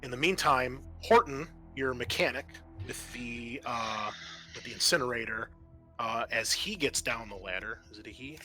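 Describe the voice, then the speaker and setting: A man working as game master, narrating a roleplaying session in a halting, conversational way. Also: medium pitch, sharp tone, faint music behind, poor audio.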